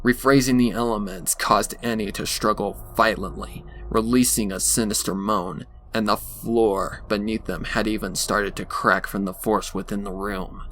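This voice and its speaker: A man's voice: fairly low pitch, fairly even intonation, normal speed, hardly any pauses, a bit bright. A man narrating a scripted horror story in a dramatic storytelling style. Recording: faint background noise.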